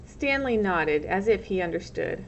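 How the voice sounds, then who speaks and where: A woman's voice: mid-range voice, expressive, a little slow. A woman reading a storybook aloud as narrator, in the manner of a teacher. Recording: muffled lo-fi recording, loud background noise.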